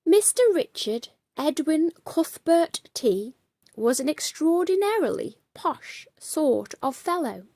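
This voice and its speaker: A woman reads a children's storybook aloud, expressively and with dramatic, deliberate emphasis. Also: high-pitched, a little mellow, dry recording. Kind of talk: expressive bedtime story narration.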